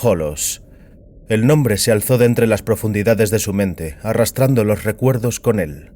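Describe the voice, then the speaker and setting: A man's voice: fairly low pitch, unhurried, mellow. A man giving a scripted narration of a science fiction audiobook. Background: music.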